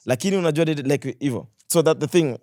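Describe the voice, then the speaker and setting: A man with a Kenyan accent in a casual podcast conversation, telling things in an animated way with quoted asides and slang. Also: a little high, fast talker, dry recording, studio quality. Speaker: podcast guest, content creator.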